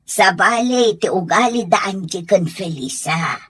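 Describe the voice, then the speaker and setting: A gentle, loyal woman, speaks slowly in a husky voice with swooping intonation. She has an Ilocano accent and a patient radio drama manner. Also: medium pitch, animated. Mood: gentle, reasoning. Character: gentle, loyal woman defending servants to her madam. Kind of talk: Filipino radio drama acting.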